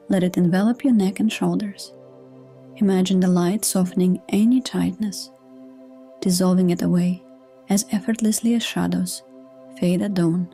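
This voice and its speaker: A woman reading a scripted sleep hypnosis session in a gentle, hushed, soothing manner. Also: lowish voice. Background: soft new-age music.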